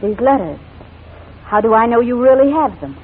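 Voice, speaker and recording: Woman playing a high-voiced refined, anxious lady. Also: expressive, normal speed, a little hiss or hum, natural voice. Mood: alarmed, indignant. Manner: polite, guarded, formal. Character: refined, anxious English lady, barrister's wife. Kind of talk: 1940s radio drama acting. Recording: tinny, phone-like 1940s radio recording.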